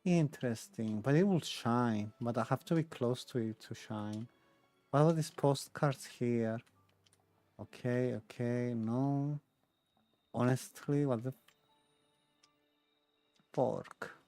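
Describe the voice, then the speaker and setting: A woman's voice: a bass voice, takes it slowly, pauses often, dark tone, no echo, faint music behind. A woman casually commenting as she plays a game, musing aloud in short repeated phrases. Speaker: game streamer.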